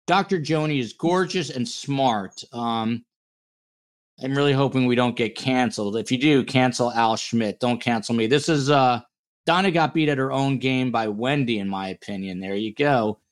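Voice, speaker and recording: A man's voice: medium pitch, normal speed. A man hosting a casual true-crime panel podcast, talking in a blunt, teasing, jokey way. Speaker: podcast host.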